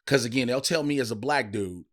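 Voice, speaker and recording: A man's voice: mid-range voice, brisk, a bit bright. A man co-hosting a comedic podcast, chatting casually and profanely, giving strong opinions and acting out imagined dialogue. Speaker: podcast co-host.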